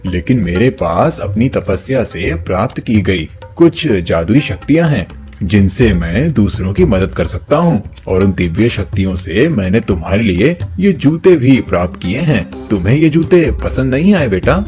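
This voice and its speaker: A man's voice: lowish voice, very animated, soft background music. A man voicing a kindly, reassuring holy sage with magical powers in a moral-story cartoon. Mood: gentle, reassuring. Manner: gentle, reassuring, unhurried.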